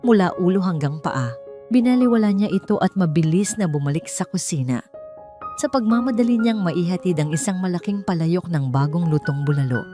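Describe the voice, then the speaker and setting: A woman's voice: on the low side, lively intonation, fairly quick, mellow, soft background music. A woman reading a scripted emotional story as a dramatic narrator.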